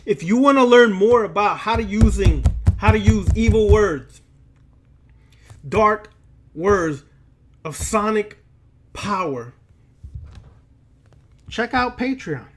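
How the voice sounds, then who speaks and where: A man with a notably high-pitched voice delivers an impromptu, sermon-like lecture. He speaks slowly and addresses the listener directly, admonishing and preachy. He leans on emphatic repetition of words and phrases. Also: expressive, slight background noise. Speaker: occult lecturer and teacher.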